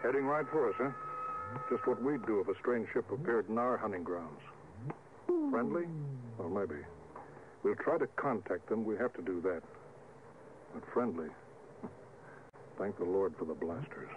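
A man's voice: on the low side, animated, unhurried, long pauses. A stern male starship captain in vintage radio drama. He is wary and clipped, giving orders with command. The sound is narrow and thin, with heavy hiss. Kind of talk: 1950s radio drama acting. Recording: muffled, noisy vintage radio recording.

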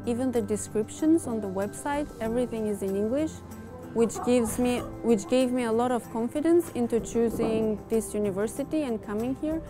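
Young woman from Albania, student interview, slightly high voice, soft music behind. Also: normal speed. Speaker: master's student. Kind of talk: interview testimonial. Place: university campus indoors. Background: background music.

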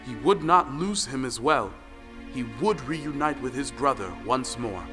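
A man's voice: mid-range voice, lively intonation, a little slow, music in the background. A man narrating a folktale audio play in a dramatic storytelling style.

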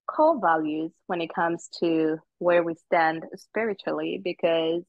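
A middle-aged woman from Zambia, a guest telling her personal story in an unhurried, earnest way with many ums. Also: fairly low pitch, gravelly, dry recording, dull audio. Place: outdoors on a footbridge.

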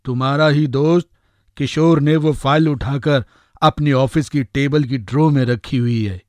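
Cartoon magic eggplant, played by a man: friendly helper, mid-pitched, chummy and reassuring, close dry recording. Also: fairly even intonation, a little slow, a little mellow, no echo, natural voice. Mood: chummy, confident. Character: friendly, confident talking magic eggplant, eager to help. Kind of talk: animated moral story voice acting.

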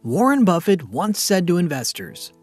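A man narrates a scripted finance explainer in a steady, explanatory manner. His voice sits a little high and moves through a lively range of pitch. Soft music plays quietly underneath. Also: normal speed.

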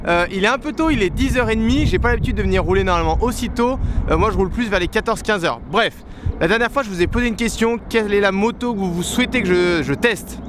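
Young man, fairly high voice, chatty vlog talk, camera at arm's length, faint outdoor noise. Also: quick pace. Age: young adult. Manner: chatty, explains things with many fillers and restarts. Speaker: motorcycle stunt vlogger. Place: outdoors on a paved lot. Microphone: handheld camera at arm's length. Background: outdoor ambience, passing plane.

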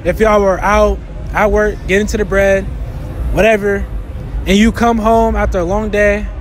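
High-pitched American guy interviewing on the street. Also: normal speed. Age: 20s. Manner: animated, slangy, repeats answers back. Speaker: street interviewer and video host. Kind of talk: casual street interview banter. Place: city sidewalk at night. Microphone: handheld mic. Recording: street noise, music and crowd behind.

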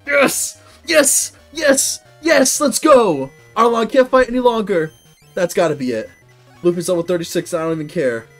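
Young male gameplay host, higher voice, keen and loud when he wins. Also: moderate pace, soft background music. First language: English. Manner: excitable, cheering loudly at victories. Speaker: gaming video host. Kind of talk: let's-play commentary. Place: indoor room at a desk.

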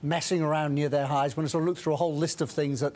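A middle-aged man speaking as a guest strategist in a TV studio interview on a lapel mic, brisk, with frequent restarts and fillers. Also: fairly high pitch, fairly even intonation, a little mellow.

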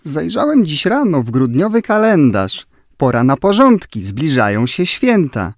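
A performer voicing a cheerful little angel in a rhymed children's Christmas audio play, with expressive storybook delivery. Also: mid-range voice, a little slow, no echo, clean studio sound. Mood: bright, pondering.

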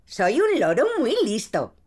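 A woman's voice: a little high, huge pitch swings, measured pace, darkish tone, husky, exaggerated voice. A woman voicing a kindly, doting old grandmother pig in an exclamatory cartoon dub. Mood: doting, cheerful.

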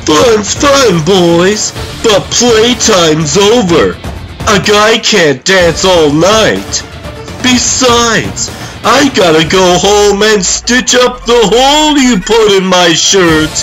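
A manic cartoon prankster villain, high and bright. The delivery is theatrical and sing-song, swooping widely with gleeful zany temper. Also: male voice, sing-song, takes it slowly, soft background music, full character voice. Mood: taunting, playful. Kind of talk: comic dub acting.

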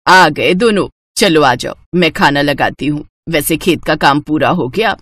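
A woman's voice: low-pitched, measured pace, a bit bright. A woman voicing a homely older village mother in a cartoon story, bossy yet loving, chatty and emphatic. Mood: caring.

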